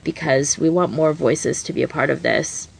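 A woman with a low-pitched voice talks casually as a podcast co-host. She rambles conversationally, looping through asides and filler words such as "like" and "you know". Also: moderate pace, vocal fry, husky, rough recording. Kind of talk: casual chat.

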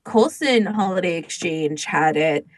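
A woman's voice: on the low side, animated, a little slow, no echo. A woman co-hosting a podcast in casual chat, talking informally and peppering her sentences with "like". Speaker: podcast co-host.

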